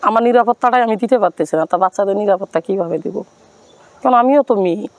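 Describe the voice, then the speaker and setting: Fast-talking Bangladeshi woman, plaintive and earnest. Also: mid-range voice, a little mellow, no echo. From Rangpur, Bangladesh. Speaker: interview guest telling her life story. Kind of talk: personal account and plea to viewers.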